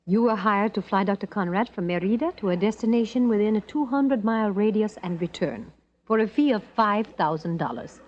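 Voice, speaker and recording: An actress playing a teasing, guarded young secretary in an old-time radio drama. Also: female voice, mid-range voice, animated, brisk, natural voice. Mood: cool, probing. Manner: cool, teasing, knowing. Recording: dull vintage radio recording.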